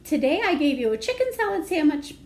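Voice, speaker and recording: A woman voicing a kindly but firm mother in a children's storybook, gently instructing. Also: high-pitched, big pitch swings, brisk, pitched above the performer's own voice.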